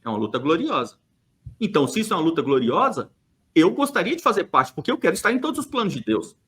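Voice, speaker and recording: A man's voice: a little high, huge pitch swings, fast, a bit bright. A man from Brazil speaking on a church podcast, making an emphatic, argumentative case with rhetorical questions and hesitant fillers. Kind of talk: opinionated podcast monologue.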